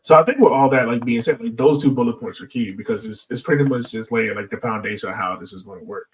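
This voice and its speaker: A man with a mid-pitched voice hosts a casual podcast discussion. He talks conversationally with plenty of "like" and "you know". He sounds slightly off the microphone. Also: fast, recorded from a distance, poor audio. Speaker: podcast host.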